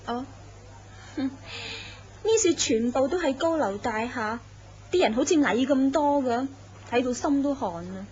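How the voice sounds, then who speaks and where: Woman voicing a sly young mistress, teasing, knowing, radio drama, fairly high voice, dull lo-fi sound. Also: lively intonation, a little slow, a little hiss or hum. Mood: chatty. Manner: teasing, knowing, drifts into soft laughs. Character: scheming young mistress of a jealous tycoon. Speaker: actress in a horror radio drama. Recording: dull lo-fi recording.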